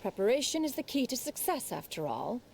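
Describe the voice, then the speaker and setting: A woman's voice: a little high, sing-song, a little fast, creaky, darkish tone, a little hiss or hum. A woman voicing a proud, aloof immortal elder master in a fantasy game, with formal, archaic, haughty diction. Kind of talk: animated fantasy game acting.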